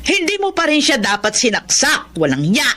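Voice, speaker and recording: A woman's voice: sits high, wildly swooping pitch, moderate pace, fairly bright tone, full character voice. An actress playing a bitter, scornful mother hurling harsh accusations in a radio drama. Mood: furious, wailing. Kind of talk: Tagalog radio drama acting.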